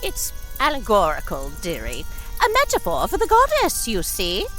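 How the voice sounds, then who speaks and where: A woman's voice: mid-range voice, huge pitch swings, moderate pace, a bit bright, soft background music, poor audio. A woman playing a gleefully wicked old witch with fussy, theatrical, archaic speech in a comic horror audio drama. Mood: smugly sweet.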